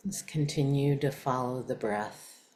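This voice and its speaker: A woman leading a guided meditation in a calm, unhurried, soft-spoken way with long pauses. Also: a bass voice, poor audio.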